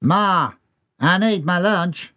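A man's voice: high voice, slow pace, sharp tone, no echo, clean studio sound, voice pushed up, exaggerated voice. A man voicing a scruffy grown farm son who whines like a child. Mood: whiny childish. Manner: whiny and sulky.

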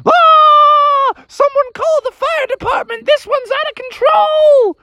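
A man's voice: extremely high pitch, fairly even intonation, slow, pushed and bright, a little hiss or hum, pitched above the performer's own voice, full character voice. A man voicing a scared young male frog, with a stammering, shrieking manner, in a children's story. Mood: panicked. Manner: stammering, shrieking when frightened.

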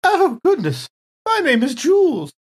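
Polite, airy woman for sci-fi tabletop game, played by a woman. Lively intonation, chatty, a touch dotty. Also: high-pitched, measured pace, pitched above the performer's own voice, exaggerated voice. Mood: airy, politely puzzled. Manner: genteel, chatty, vague. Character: polite, airy, unhurried woman newly woken from cryo sleep.

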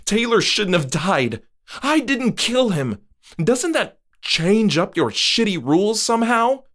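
A man voicing a frightened but defiant woman, pitching his voice far up and pleading. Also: very animated, slow pace, exaggerated voice. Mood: desperate. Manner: pleading, raised and shrill.

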